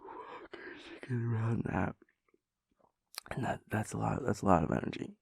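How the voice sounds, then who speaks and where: A man's voice: on the low side, moderate pace, creaky, husky, no echo, whispered, clean studio sound. A man playing a sleepy, playful boyfriend in an intimate audio roleplay, stammering and hesitant, with mock-serious rambling. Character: sleepy, playful, chaotic boyfriend refusing to get up.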